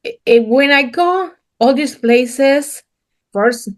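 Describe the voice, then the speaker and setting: A woman's voice: sits high, slow pace. Colombian woman w a thick accent, middle-aged, talking about her business in broken english, kinda earnest. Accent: Colombian Spanish. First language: Spanish. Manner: broken English, repeats words, earnest and open. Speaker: guest, painting business owner. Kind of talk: casual interview conversation.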